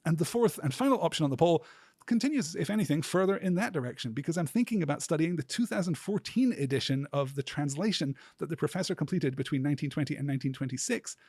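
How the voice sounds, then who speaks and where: A man with a slightly high, husky voice narrates a literary podcast in a close, dry recording. His delivery is scholarly and articulate, with earnest enthusiasm. He phrases things carefully and pauses for emphasis. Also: animated, darkish tone, no room sound. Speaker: podcast host.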